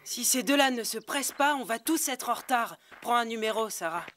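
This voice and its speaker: High-voiced, wry cartoon teen. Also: female voice, animated, fairly quick, fairly bright tone. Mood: exasperated. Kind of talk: French cartoon dubbing.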